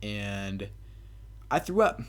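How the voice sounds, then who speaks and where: A man's voice: on the low side, big pitch swings, drawn-out words, clean tone. A young man telling a casual, rambling story as a gaming commentator, swearing freely with self-mocking bravado. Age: young adult. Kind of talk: casual rambling party storytelling over gameplay.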